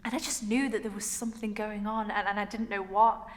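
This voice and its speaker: A woman's voice: medium pitch, steady tone, lightly acted. Woman acting emotional young woman in echoey hall. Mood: wistful, wondering. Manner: emotional, emphatic, pausing for effect. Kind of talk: dramatic stage monologue. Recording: echoey hall, noticeable room noise.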